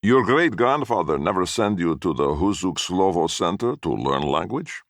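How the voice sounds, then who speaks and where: A man voicing an elderly immigrant host who speaks with broken word order and slow, declaiming emphasis. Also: deep voice, big pitch swings, no room sound, clean studio sound.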